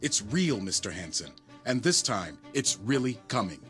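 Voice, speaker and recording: An actor playing a cold, controlled agent of a secret network, formal and measured in a drama. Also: male voice, fairly low pitch, sing-song, a bit bright, faint music behind. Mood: grave.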